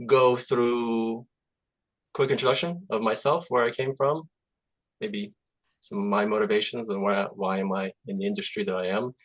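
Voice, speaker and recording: A man in his forties whose first language is Russian, born in Azerbaijan, giving a relaxed, chatty talk as a guest software engineer over a video call, with many fillers and restarts. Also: on the low side, dull audio. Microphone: computer mic on video call.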